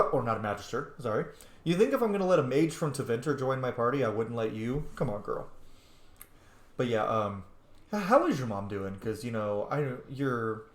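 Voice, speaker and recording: A man's voice: medium pitch, roomy sound. A man playing a roleplaying game and commenting casually, joking and talking back to the characters in an informal way. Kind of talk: casual reactive gameplay commentary.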